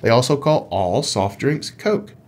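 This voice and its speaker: A man's voice: medium pitch, animated, measured pace, darkish tone. Guy podcast host, rambly and playful, just talking games with his buddy. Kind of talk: casual gaming podcast chat.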